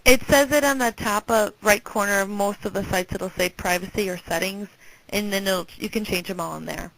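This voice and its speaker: A woman's voice: medium pitch, moderate pace, fairly bright tone. Woman presenting over a noisy conference call. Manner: conversational, directive, checks in often with "okay". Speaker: presenter, alumni relations career staffer. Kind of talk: informal instructional presentation.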